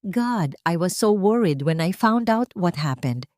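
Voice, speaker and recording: A woman's voice: on the low side, animated, unhurried, a little mellow, no echo, clean studio sound. A woman voicing a deceitful husband in an audio story, soothing and apologetic with feigned worry. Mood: feigned concern.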